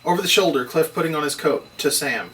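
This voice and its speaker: Bright, higher-pitched man reading stage directions. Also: quick pace. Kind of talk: scripted screenplay narration at a table read. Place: indoor table read, recorded on camera. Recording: distant mic, faint room noise.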